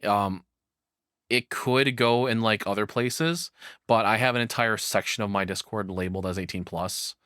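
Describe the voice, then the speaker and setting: Male streamer, low voice, close dry sound, rambling sweary reaction talk. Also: moderate pace, bright, forceful tone, no room sound. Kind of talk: casual off-the-cuff commentary.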